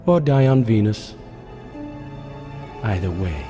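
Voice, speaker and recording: A haughty video game prince, with a low voice and slow, drawling delivery. He sounds bored and disdainful, with dry mockery under his courtly manner. Music plays clearly behind him. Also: lively intonation. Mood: mocking amusement. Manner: languid, sardonic, disdainful. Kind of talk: video game cutscene acting.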